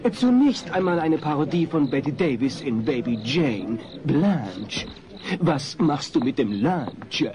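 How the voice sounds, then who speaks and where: A pushy amateur showman in a comedy radio play. He is formal and pompous, with a lively, slightly high voice over crowd noise. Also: takes it slowly. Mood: showy. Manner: showy, formal. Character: pompous, pushy young male amateur party entertainer.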